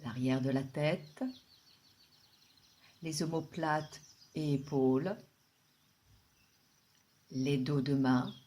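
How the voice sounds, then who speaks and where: Slow, low-voiced woman guiding relaxation. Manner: calm, soothing, unhurried instruction with long pauses. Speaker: yoga nidra guide. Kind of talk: guided relaxation meditation.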